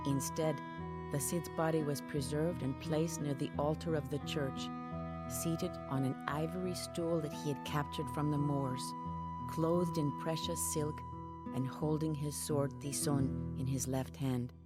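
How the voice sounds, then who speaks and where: An actress playing a proud, grieving medieval Spanish noblewoman, narrating a game cutscene in a solemn, measured, theatrical way. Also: deep voice, lightly acted. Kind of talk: dramatic scripted cutscene narration. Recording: loud music behind the voice. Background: music.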